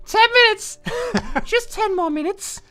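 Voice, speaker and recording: A man voicing an excitable, bubbly, rebellious teenage anime schoolgirl in an exaggerated falsetto. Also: slow pace, faint music behind, full character voice. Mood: impatient, eager. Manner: exaggerated, gleeful.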